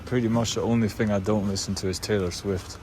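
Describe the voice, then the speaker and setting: Young Swiss man, Swiss German accent, slightly low voice, chatty vlogger, noisy car recording. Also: fairly even intonation, quick pace. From Switzerland. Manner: loose, unscripted, many fillers and restarts. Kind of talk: casual rambling monologue.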